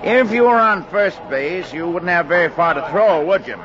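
An actor playing a brisk, colloquial man in authority in a 1940s radio play. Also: sits high, lively intonation, a crowd in the background. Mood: commanding. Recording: noisy, muffled 1940s radio recording.

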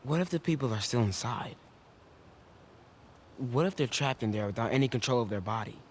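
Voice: mid-range voice, lively intonation, a little fast, a little hiss or hum, natural voice. A performer with an American accent playing an anxious, guarded young boy survivor in a video game drama. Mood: anxious, confiding. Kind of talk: naturalistic video game cutscene acting.

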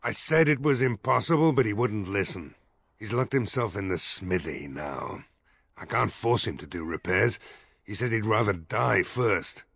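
Gravelly, gruff village mayor. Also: male voice, on the low side, expressive, normal speed, fairly bright tone, dry recording, full character voice. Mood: worried, exasperated. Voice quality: gravelly, husky. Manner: blunt, grumbling. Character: gruff, weary, skeptical male village mayor. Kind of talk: fantasy video game acting.

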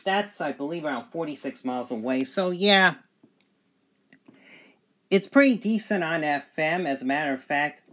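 Guy doing a casual gadget review, plain spoken, voice a bit on the high side. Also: animated, normal speed, pauses often, fairly bright tone.

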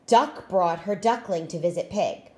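A woman reading a children's picture book aloud in an expressive, deliberate way. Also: lowish voice. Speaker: storytime reader, school staff.

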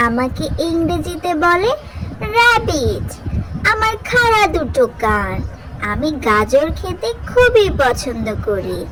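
High-pitched voice, slow deliberate pace, sing-song children's teaching narration, scripted cartoon delivery, clear background noise. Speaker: narrator introducing animals for children.

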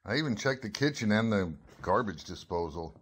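A man's voice: fairly low pitch, animated, a little fast, husky. A man playing an exasperated, suspicious cast member in a comic backstage skit. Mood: exasperated, accusing.

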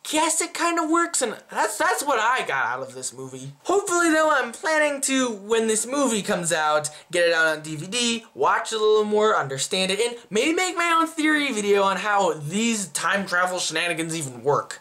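A man's voice: high-pitched, sing-song, normal speed, few pauses, bright, forceful tone. A young man hosting a casual, chatty movie review, talking expressively to camera in a small room. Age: young adult. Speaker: video host, movie reviewer. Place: small plain room indoors.

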